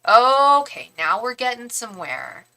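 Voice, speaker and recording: A young woman with a bright, edged voice gives a casual psychic reading on a live stream. She rambles, restarts and speaks straight to her viewers in a chatty, conversational way. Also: on the high side, big pitch swings, unhurried, low-quality recording. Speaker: tarot reader and psychic.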